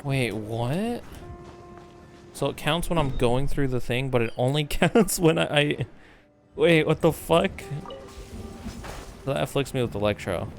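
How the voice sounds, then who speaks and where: A man's voice: medium pitch, animated, a little slow, pauses often, soft background music. A man giving casual, sweary game commentary, often muttering to himself and exclaiming. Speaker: game streamer.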